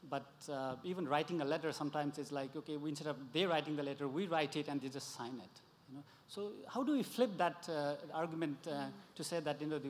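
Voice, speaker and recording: A man from Nepal puts a question to the panel from the floor, earnest and explaining his point with examples. His voice is a little high, carried by a long hall reverb. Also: slight background noise. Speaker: audience member asking a question. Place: conference hall.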